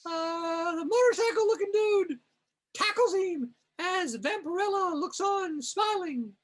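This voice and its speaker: A woman with a very high voice describes scenes in a slow, improvised fashion. She sounds wry and amused, casual in her narration. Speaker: narrator of a comic reading.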